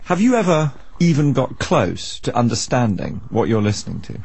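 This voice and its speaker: A man's voice: mid-range voice, sing-song, normal speed, gravelly, a little mellow, slightly rough, no echo. A man presenting a radio documentary, narrating and asking questions in a dramatic storytelling manner.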